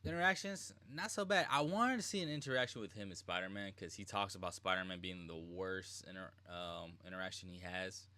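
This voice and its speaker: A male streamer with a low, bright, forceful voice. He comments casually and informally, talking straight to his chat as he reacts. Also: steady tone, fairly quick, few pauses, no room sound. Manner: informal, addresses chat, mimics lines. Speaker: streamer, host.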